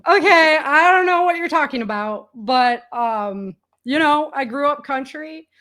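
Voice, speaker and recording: An American woman chatting casually as an interview guest, telling stories in an animated way and acting out bits of dialogue. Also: high-pitched, measured pace. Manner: animated storytelling, quoting imagined dialogue. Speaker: guest, author.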